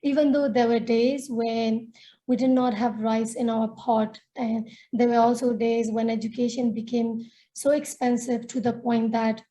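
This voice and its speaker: A woman's voice: on the high side, warm tone, low-quality recording. A woman from Myanmar, a native Nepali speaker, telling her life story in earnest, reflective way as a webinar panelist over a video call. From Myanmar, northern Shan State. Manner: earnest, reflective, with small restarts. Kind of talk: personal storytelling talk.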